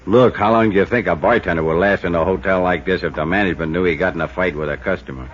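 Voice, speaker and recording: An American actor playing a gruff, defensive, streetwise hotel bartender with blunt slangy talk in a 1950s radio drama. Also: male voice, deep voice, fast. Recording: muffled, faintly noisy 1950s radio recording.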